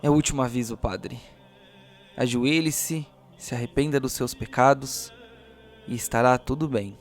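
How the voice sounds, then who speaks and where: A zealous male cult priest, acted by a game master, preaches at a medium pitch with mocking condescension. He is a fanatical audio drama villain who offers forgiveness like a threat, with music behind him. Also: moderate pace, lightly acted. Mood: solemn, preachy. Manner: condescending, preachy, pleading then menacing.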